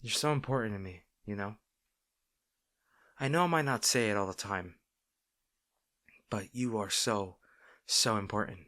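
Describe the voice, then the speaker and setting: Man acting as caring, firm boyfriend, bright voice. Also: lowish voice, no echo, clean studio sound. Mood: tender concern. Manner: soft, slow, tender, earnest with sighs. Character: caring, gently firm boyfriend soothing an overworked partner. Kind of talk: intimate boyfriend roleplay audio.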